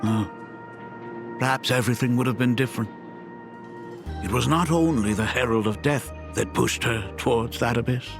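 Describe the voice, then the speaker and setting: A man voicing a gentle, wistful academy dean and father, speaking formally in a dramatic video game style. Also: mid-range voice, close to an everyday voice. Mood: regretful. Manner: measured, formal, ceremonious phrasing. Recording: music under the voice.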